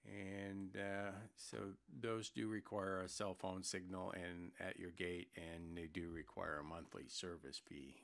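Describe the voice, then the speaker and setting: An American man giving an informal product explainer as a presenter, plain-spoken and hesitant, pausing mid-sentence. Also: low voice, creaky, fairly bright tone. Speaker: product presenter for an intercom seller. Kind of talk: informal product explainer over screen recording.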